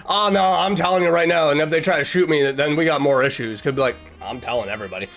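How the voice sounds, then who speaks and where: A man giving casual, sarcastic reaction commentary with animated, mock-excited asides over background music. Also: on the high side, fast talker. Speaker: reaction video host.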